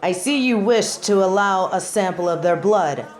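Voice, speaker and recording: A woman's voice: medium pitch, animated, moderate pace, darkish tone, some chatter behind, lightly acted. A woman playing a haughty, commanding angel judge in a scripted audio roleplay, speaking in a pompous, formal, theatrical manner. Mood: formal, imperious.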